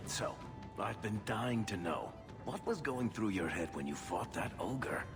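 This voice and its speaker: A roguish dwarf adventurer with a low pitch and animated delivery. He is chatty, wry and sardonic in fantasy game style, with music playing underneath. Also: a little slow, vocal fry, full character voice. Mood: amused, wry. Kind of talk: video game character acting.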